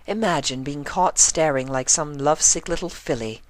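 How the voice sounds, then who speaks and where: A man's voice: a little high, normal speed, pitched above the performer's own voice, lightly acted. A man voicing a refined, dramatic female pony with dainty, genteel phrasing in a storybook reading. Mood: lovesick, self-chiding. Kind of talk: storybook character voice in fan fiction reading.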